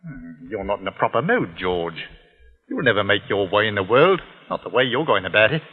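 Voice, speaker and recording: An actor playing a sly, scheming middle-aged working-class English uncle in a 1940s radio drama, oily and mock-friendly. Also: male voice, lowish voice, very animated, brisk, husky. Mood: sly, evasive. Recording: dull old radio recording, reverberant.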